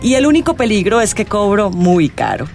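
An actress with a Colombian accent playing a mysterious, self-assured tarot reader in a radio drama, theatrical and sardonic. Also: female voice, mid-range voice, sing-song, brisk, creaky, darkish tone, faint music behind. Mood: knowing, sly.

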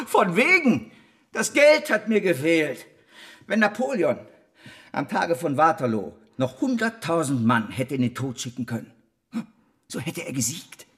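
An actor in a German radio drama playing a disgraced, imprisoned financier, ruthless, self-pitying and grandiose, in a halting theatrical way. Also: male voice, on the high side, very animated, a bit bright. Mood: defiant, grandiose. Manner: theatrical, halting, swinging between pleading and boasting.

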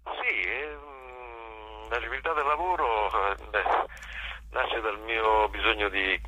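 A man with a cold, explaining reflectively and haltingly as an interview guest. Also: fairly low pitch, very noisy. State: has a cold. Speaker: author and actor guest.

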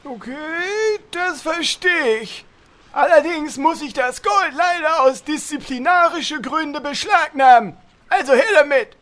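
Casting: bossy fantasy guild boss, extremely high squeaky voice, slow self-important delivery, parody radio play. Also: a bit bright. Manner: bossy, deliberate. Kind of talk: German comedy audio drama parody.

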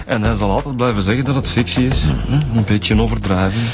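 Sceptical Flemish male detective played by an actor, fairly low, dry and conversational, clear music behind. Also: brisk, lightly acted. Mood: dismissive, dry. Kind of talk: conversational radio drama dialogue.

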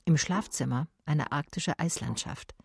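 A woman's voice: low-pitched, a little slow, gravelly, a little hiss or hum. An actress playing a female private detective who narrates her case in a radio play in a matter-of-fact, laconic way. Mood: observant.

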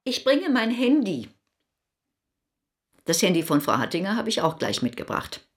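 An actress playing a lively, haughty lady guest who complains in a pointed way in a radio play. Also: medium pitch, moderate pace, slightly rough. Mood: wry.

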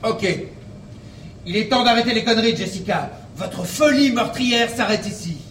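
A man's voice: high-pitched, moderate pace, fairly bright tone, recorded from a distance. An actor playing a sardonic, exasperated male police inspector who swears freely, in a comic audio drama. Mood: stern, exasperated. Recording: roomy, off-mic, noisy action-scene sound.

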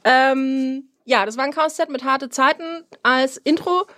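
A woman's voice: high-pitched, unhurried. Stuffy-nosed woman hosting a radio show. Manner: relaxed, chatty, frequent "ähm" fillers. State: stuffy from a cold. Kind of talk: casual radio chat.

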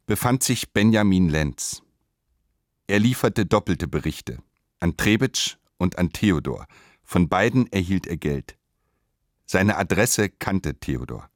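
A man narrating a radio play in a measured, deliberate way, reading literary prose. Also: low-pitched, no echo, clean studio sound.

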